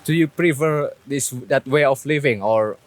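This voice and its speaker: A man's voice: mid-range voice, normal speed. Male street interviewer hosting a travel show.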